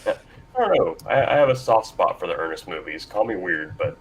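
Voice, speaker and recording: A man's voice: sits low, very animated, brisk, slightly rough, poor audio. A man moderating a casual panel discussion, speaking informally and a little hesitantly.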